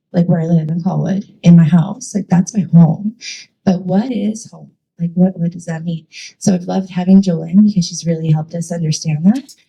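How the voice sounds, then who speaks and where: A woman's voice: lowish voice, vocal fry, warm tone, husky, low-quality recording. A woman leading youth ministry, giving a chatty, enthusiastic talk to a church with many fillers.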